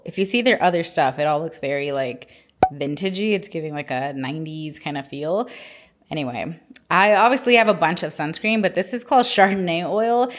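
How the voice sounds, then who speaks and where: A woman, chatting casually to camera in the early morning, relaxed and quick to laugh, with a stuffy, sniffly nose. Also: lowish voice, echoey room. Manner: chatty and relaxed, laughs often, drifts off mid-sentence. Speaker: vlogger. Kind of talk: casual vlog chat to camera. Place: hotel room in the early morning.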